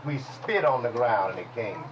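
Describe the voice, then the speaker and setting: A man's voice: medium pitch, lively intonation, moderate pace, muffled recording, noisy background. Folksy preaching man, call-and-response. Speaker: teacher. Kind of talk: preaching Bible lesson. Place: congregation hall.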